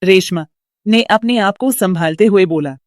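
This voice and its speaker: A woman's voice: mid-range voice, a little slow, no echo, clean studio sound. A woman reading a scripted story as its narrator.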